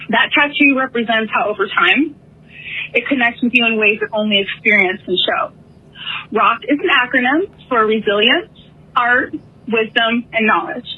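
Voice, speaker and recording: A woman's voice: fairly high pitch, breathy edge. A woman leaving an earnest voicemail message, reading from her notes. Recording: tinny phone voicemail.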